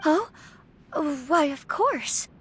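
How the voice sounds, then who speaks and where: Refined young lady for a game: polite, attentive, high voice with lively swoops. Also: very slow, slight background noise, heavily acted. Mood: curious, polite. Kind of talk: video game voice acting.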